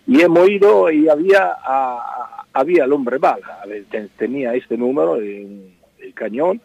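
Middle-aged circus man with an Italian accent, mellow and dry, halting speech, lo-fi radio tone. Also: medium pitch, expressive, gravelly, slightly rough, no room sound. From Spain. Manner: hesitant, repeats words, says "mire" often. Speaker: circus owner. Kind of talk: radio interview answers.

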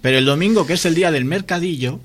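A man with a Spanish accent from Spain, chatting casually and telling anecdotes in an animated way, often quoting people. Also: a little high, fast talker. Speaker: guest, science video creator.

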